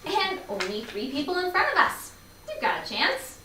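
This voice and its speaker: In a very echoey space, distant from the mic, a woman plays a bubbly young woman with a bright voice. She teases and coaxes with playful emphasis, as in a live play reading. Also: high-pitched, wildly swooping pitch, normal speed, full character voice. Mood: eager, playful. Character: bubbly, teasing, persuasive young woman, a kolache enthusiast. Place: live staged reading in a room.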